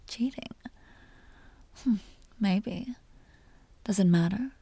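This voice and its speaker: Breathy, slow-whispering vampire duchess, acted by a woman. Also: medium pitch, mellow, no room sound, full character voice. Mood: seductive, possessive. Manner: slow, teasing, genteel and commanding. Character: seductive, possessive vampire duchess, commanding yet tender. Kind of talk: intimate whispered roleplay audio drama.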